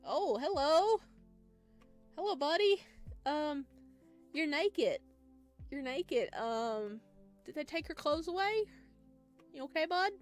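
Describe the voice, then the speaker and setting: A woman's voice: sits high, animated, takes it slowly, pauses often, pitched above the performer's own voice. A friendly, cheeky young man in a visual novel, read by a woman, chatting casually and a little restlessly. Music plays behind the voice. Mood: surprised, friendly. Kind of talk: visual novel character reading.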